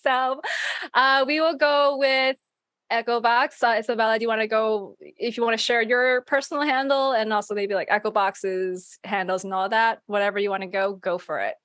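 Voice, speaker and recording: High-voiced woman hosting, giggly and informal. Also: fairly even intonation, normal speed, a bit bright, no room sound, studio quality. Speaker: host of a podcast panel. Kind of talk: casual chat.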